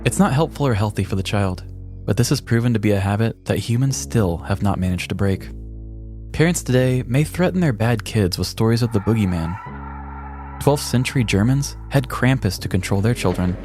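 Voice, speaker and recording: A man's voice: lowish voice, animated, brisk, music in the background. An American man co-hosting a podcast, chatting and telling stories in a casual, playful, wry way. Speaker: podcast co-host. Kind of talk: casual chat and storytelling.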